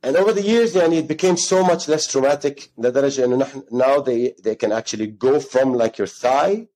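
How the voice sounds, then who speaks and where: A man's voice: medium pitch, fast, a little mellow, poor audio. A man in his thirties, from Ghana, telling his story as an interview guest in a rambling way, with Arabic phrases mixed into his English. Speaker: guest, gym co-founder.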